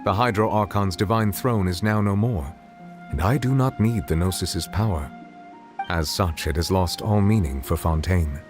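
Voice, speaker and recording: A man voicing a solemn, dignified dragon who serves as chief judge in a video game, speaking in a formal, measured, grave manner. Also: deep voice, close to an everyday voice. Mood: resolute, formal. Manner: formal, measured, eloquent, reserved. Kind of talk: video game character dialogue. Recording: game dialogue over background music.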